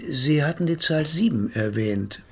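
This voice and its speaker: An actor playing a measured, sceptical psychotherapist in a radio play, speaking in a formal, pedantic, hedging manner. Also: male voice, a little high, expressive, husky, exaggerated voice. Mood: measured, probing. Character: measured, sceptical male psychotherapist analysing his patient.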